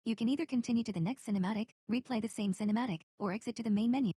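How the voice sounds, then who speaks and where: A woman's voice: fairly high pitch, very fast, dark tone, husky, dry recording, clean studio sound. A woman reading out menu options as scripted narration.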